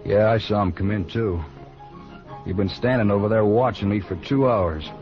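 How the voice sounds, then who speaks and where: Man playing a low-voiced, defiant western gunslinger. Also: normal speed, faint music behind, lightly acted. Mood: irritated. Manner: blunt, defiant. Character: proud, dangerous returning gunslinger, a lone drifter. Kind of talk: 1950s radio acting. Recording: muffled old radio recording.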